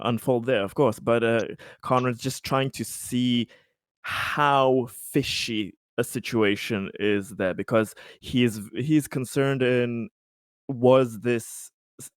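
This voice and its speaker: A man playing a tabletop roleplaying game, explaining his character's thinking in a casual, deliberate, wordy way. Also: medium pitch, clear, resonant tone, no room sound, clean studio sound. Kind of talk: casual in-game discussion, describing his character in third person.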